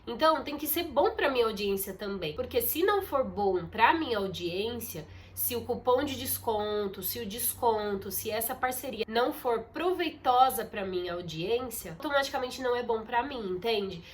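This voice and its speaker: A young woman vlogs into a phone propped on a ring light, her slightly high voice stuffy and muffled from congestion. She chats in a relaxed, confiding way, as if updating friends. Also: normal speed, hardly any pauses. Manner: chatty, confiding, addresses viewers as "gente". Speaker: content creator. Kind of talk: casual vlog talk to camera.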